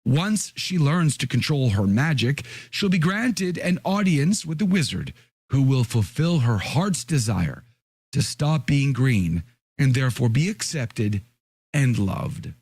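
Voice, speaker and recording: A hoarse, raspy man hosting a podcast, reading an article aloud in a measured, deliberate way with his own remarks. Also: mid-range voice, very animated, a little mellow, dry recording, clean studio sound. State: hoarse from a cold. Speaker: podcast host.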